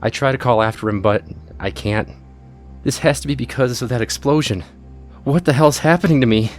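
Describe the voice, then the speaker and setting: An actor playing a frightened teenage boy, narrating haltingly in the first person in an audio drama. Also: mid-range voice, soft background music, natural voice. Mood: alarmed.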